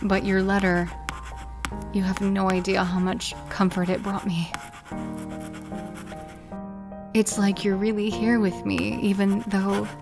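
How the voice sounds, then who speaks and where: An actress playing a grieving, loving letter writer in an audio drama, speaking emotionally with hesitant, repeated phrases. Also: female voice, mid-range voice, natural voice. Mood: tearful wonder.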